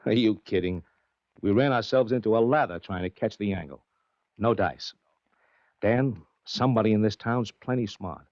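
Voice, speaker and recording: A man's voice: on the low side, moderate pace, a little mellow, no room sound, close to an everyday voice. A man playing a worried, tough, streetwise betting agent in a 1940s radio drama, talking in slang. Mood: worried, frustrated. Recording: dry, muffled vintage radio recording.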